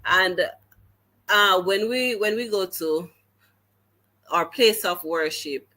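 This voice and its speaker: Jamaican woman guest, teacher, unhurried earnest storytelling with a bright, forceful tone. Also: mid-range voice. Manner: earnest, halting restarts, slips into patois. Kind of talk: conversational storytelling in an interview.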